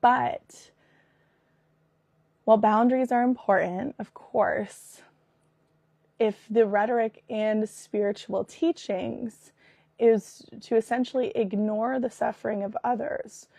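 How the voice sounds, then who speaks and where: A woman in her thirties with a cold, hosting her podcast with an earnest, animated manner and a hoarse, raspy voice. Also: a little high, takes it slowly, no room sound. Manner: earnest, animated, with frequent fillers and restarts. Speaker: podcast host and spiritual business coach. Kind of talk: casual spoken monologue.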